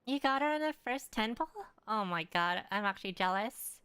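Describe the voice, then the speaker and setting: A woman's voice: medium pitch, animated, moderate pace, a bit bright, clear, resonant tone, no echo. A young woman live streaming a game from her room, chatting offhandedly and rambling as she answers viewers. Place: room at home.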